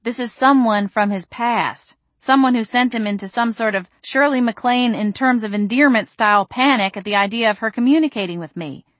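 An exasperated young vampire woman from a storybook, acted by a woman reader. She vents in indignant, emphatic bursts, distraught and sharp-tongued. The sound is close and dry. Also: a little high, normal speed, few pauses, no echo, voice pushed up, lightly acted. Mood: angry venting.

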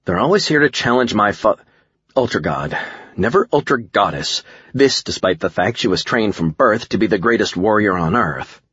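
A man's voice: lowish voice, lively intonation, normal speed, a bit bright, husky, no echo, muffled recording, full character voice. A man voicing a tough, irritable young woman in an audiobook, muttering and grumbling wryly. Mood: irritated.

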